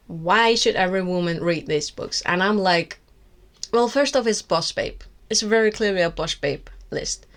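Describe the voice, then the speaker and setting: A woman from Iceland with an Icelandic accent, whose first language is Icelandic, candidly reviewing books on her vlog in a wry, rambling way with many restarts. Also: on the low side. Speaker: book vlogger. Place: seated indoors with books on her lap.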